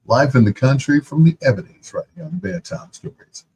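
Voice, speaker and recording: A man's voice: on the high side, lively intonation, brisk, vocal fry, darkish tone, a little hiss or hum, rough recording. A man hosting a radio music show, chatting casually and telling playful personal anecdotes.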